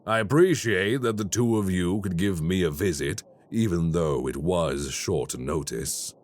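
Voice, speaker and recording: An actor playing a polite, quietly menacing male crime boss in an audio roleplay. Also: deep voice. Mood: smooth menace. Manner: slow, courteous and quietly menacing.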